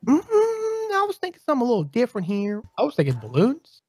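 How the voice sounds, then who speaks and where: A man's voice: very high-pitched, wildly swooping pitch, a little fast, darkish tone, husky. A man bantering casually over a video game in a goofy, playfully boastful way. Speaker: gamer. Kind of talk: casual, joking gameplay banter.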